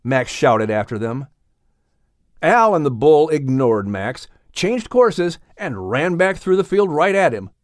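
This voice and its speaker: A man narrating a children's animal story as an audiobook reader, in an expressive scripted style. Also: on the high side, slow pace, dry recording, clean studio sound.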